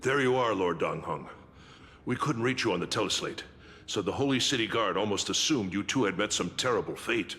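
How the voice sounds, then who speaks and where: An actor playing a stern, commanding city guard in a fantasy game, with a forceful, formal and declamatory delivery. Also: male voice, on the low side, animated, fairly quick, big-room sound. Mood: urgent. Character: stern, commanding male city guard, menacing toward enemies. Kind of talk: dramatic fantasy game voice acting.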